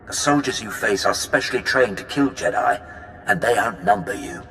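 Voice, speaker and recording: A man's voice: on the low side, normal speed, lightly acted. A man playing a cold, menacing Imperial officer, an imperious and threatening villain in a sci-fi video game. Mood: cold threat. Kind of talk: scripted video game acting. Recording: long reverb, game music behind.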